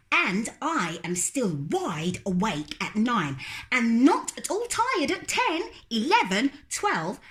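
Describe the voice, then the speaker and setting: A woman's voice: high voice, fairly bright tone, voice pushed up, exaggerated voice. A woman voicing a small, stubborn, imaginative little girl in a storybook, with dramatic, emphatic protests. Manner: emphatic, drawn-out protesting delivery.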